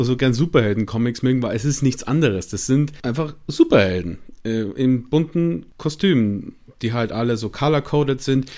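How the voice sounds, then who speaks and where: A man's voice: medium pitch, a little mellow. A man with a regional German dialect, explaining a topic at length in a casual podcast chat, with many fillers and restarts. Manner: enthusiastic, rambling with fillers and restarts.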